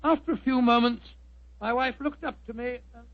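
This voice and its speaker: A man's voice: extremely high pitch, fairly even intonation, normal speed. An actor playing a genial, flustered British doctor, an older man who stammers and repeats himself, in 1940s radio style. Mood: genial, reminiscing. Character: genial, flustered English doctor, fond husband and storyteller. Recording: muffled vintage 1940s radio recording.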